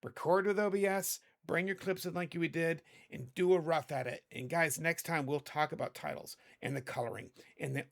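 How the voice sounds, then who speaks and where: A hoarse man in middle age walks viewers through a video edit in a loose, rambling way, often correcting himself mid-sentence. His voice sits a little high for a man. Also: normal speed, few pauses. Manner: chatty, rambling, self-correcting. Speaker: video editing tutorial host. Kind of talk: casual screen-recorded tutorial.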